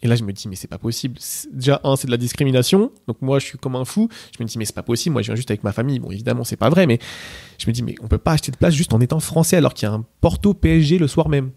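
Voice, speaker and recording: Fast-talking man with a gravelly voice. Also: mid-range voice, lively intonation, dark tone, no echo, clean studio sound. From Portugal. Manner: rapid anecdotal telling with many fillers and restarts. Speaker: interviewee, PSG ultra supporter. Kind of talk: casual spoken storytelling.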